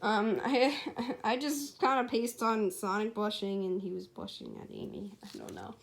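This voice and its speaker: A woman's voice: mid-range voice, sing-song, normal speed, vocal fry. A woman narrating her amateur story as writer and storyteller, informal and self-correcting, with casual asides.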